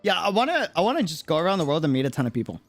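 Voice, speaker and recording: A man's voice: a little high, sing-song, rapid-fire, faint music behind. An American man chatting casually and reacting in slang as he streams a game. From United States. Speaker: live streamer. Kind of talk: casual game livestream commentary.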